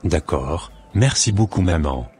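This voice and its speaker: An earnest, devout young man in a cartoon drama voiced by a man, speaking in a low voice. He is a devoted son in love, and music plays behind his scripted lines. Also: expressive, measured pace, darkish tone, husky, full character voice. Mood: polite, devout.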